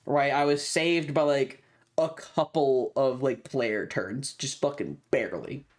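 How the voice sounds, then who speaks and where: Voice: medium pitch, measured pace. A streamer telling a story casually and emphatically to viewers, with frequent swearing.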